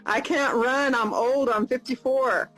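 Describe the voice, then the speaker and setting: An American woman in her fifties hosting a casual live video chat, upbeat and repeating her questions slowly and plainly. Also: sits high, steady tone, fairly bright tone, soft background music, rough recording. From United States. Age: 50s.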